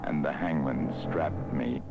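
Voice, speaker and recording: Brief: grave male narrator, very deep and unhurried, 1960s film poem reading. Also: steady tone, over loud music. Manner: slow, grave, measured delivery. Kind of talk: dramatic poetry reading for an animated film. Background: orchestral film score.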